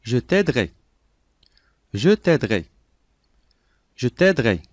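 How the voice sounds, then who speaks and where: Lively, deliberate male lesson voice. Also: medium pitch, no room sound, studio quality. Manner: clear, deliberate, each sentence said three times. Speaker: language lesson voice. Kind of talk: slow repeated phrase reading for learners.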